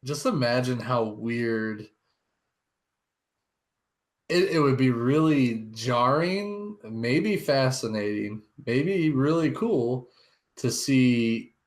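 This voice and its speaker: A man co-hosting a casual pop-culture podcast, musing informally and haltingly as he works out his thoughts. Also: mid-range voice, expressive. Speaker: podcast co-host.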